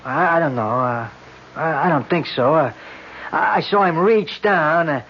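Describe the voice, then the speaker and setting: An actor playing a plain-spoken working-class street eyewitness in a radio drama, talking in a halting, rambling, colloquial way. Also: male voice, a little high, a little hiss or hum. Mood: flustered, earnest. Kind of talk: 1970s radio drama acting. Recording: dull old radio broadcast recording.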